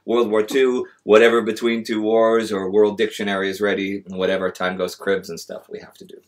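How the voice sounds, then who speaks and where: A man's voice: fairly low pitch, level tone, brisk. A man from Texas with a Texan accent, teasing and joking on a panel in a small studio. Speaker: host. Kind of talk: banter. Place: small studio with a world map.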